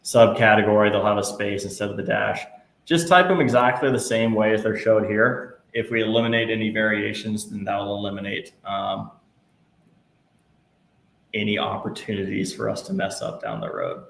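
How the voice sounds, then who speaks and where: A patient male instructor leads a live class through each click of a software tool. His voice carries a roomy echo, with a faint hiss underneath. He reassures students often. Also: lowish voice, moderate pace, creaky. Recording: echoey room, faint noise.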